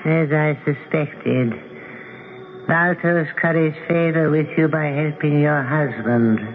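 An actor playing a stern, imperious old god in a 1970s radio drama, speaking in deliberate, pompous pronouncements. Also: male voice, fairly high pitch. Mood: scornful, stern. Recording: muffled, hissy old radio broadcast.

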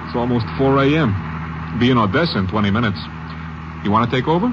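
A chatty New York salesman played by a man, with very mobile intonation at a medium pitch. He is in an old radio drama, muffled and noisy with car sounds. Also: lively intonation, brisk, lightly acted. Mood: tired. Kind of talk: 1950s radio drama acting.

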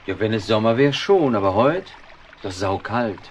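A kindly, flustered middle-aged writer, played by a man who bumbles along and mutters to himself. His pitch swings up and down a lot. Faint record hiss lies behind him. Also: normal speed, natural voice. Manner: bumbling, talks to himself, lively. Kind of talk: 1970s radio play acting.

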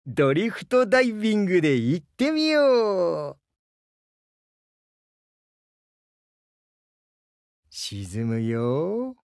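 A man playing a gentle, bashful crocodile diving instructor in a Japanese game, earnest and hesitant, easily flustered. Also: high voice, no echo, studio quality, exaggerated voice. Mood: battle focus. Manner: soft-spoken, hesitant, earnest, stammers when flustered. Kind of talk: Japanese game character voice lines.

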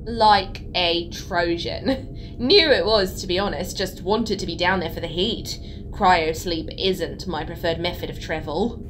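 A woman's voice: a little slow, close to an everyday voice. A woman playing a sardonic, resentful space engineer in an audio drama, with a dry, wry and blunt way of talking. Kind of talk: naturalistic audio drama acting. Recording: faint rumbling background noise.

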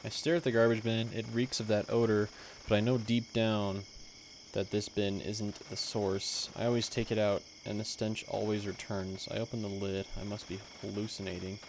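A man's voice: fairly low pitch, little pitch movement, normal speed, a little hiss or hum, lightly acted, rough recording. A man reading the part of a troubled husband in a horror game, in a grave, uneasy manner. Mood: haunted, brooding. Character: troubled, losing-his-mind husband narrating a horror game. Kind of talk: dramatic reading of game text.

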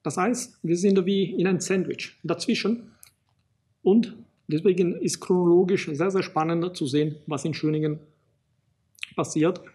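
A middle-aged man from Italy with an Italian accent, giving a public lecture as an archaeologist, pausing often with fillers and restarting sentences. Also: high voice, warm tone, breathy edge. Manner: halting, many fillers and restarts. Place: small studio in front of a banner.

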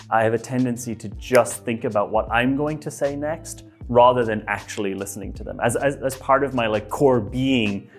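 A man in his thirties with an Irish accent mixed with American, giving a casual, self-deprecating lesson on facilitation in a bright office. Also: medium pitch. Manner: lively, chatty, self-deprecating, with quick restarts. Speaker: facilitation trainer. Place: bright office room by a whiteboard.

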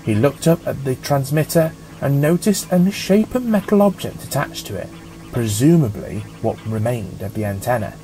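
A man reading a scripted story as an audiobook narrator, in a measured, dramatic storytelling manner. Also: mid-range voice, lively intonation, mellow, soft background music, low-quality recording.

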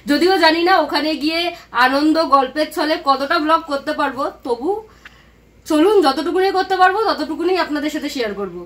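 Excited woman vlogging. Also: high-pitched, fairly quick. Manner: excited and chatty. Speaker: vlogger host. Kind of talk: casual vlog narration. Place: home room, then busy indoor cafe. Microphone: handheld camera at arm's length.

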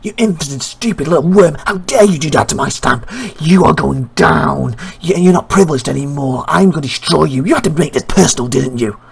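Woman putting on a low, menacing bully wrestler. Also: fairly quick, gravelly, few pauses, breathy edge, full character voice. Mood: furious. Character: menacing, possessive bully wrestler, contemptuous older mentor. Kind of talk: wrestling villain promo.

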